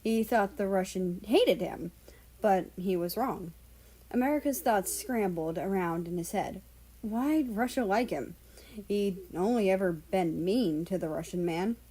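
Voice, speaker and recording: A woman reads a story aloud as narrator. Her pitch sits in the middle range for a woman, and she delivers the text as storytelling narration. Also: a little slow, mellow, breathy edge, poor audio. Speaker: fan fiction reader.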